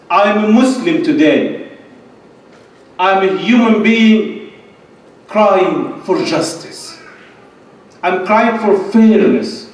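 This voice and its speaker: A middle-aged man giving an impassioned, emphatic religious and political speech in short repeated phrases. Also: high voice. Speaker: conference speaker. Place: large indoor lecture hall at a podium. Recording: echoey hall, distant microphone.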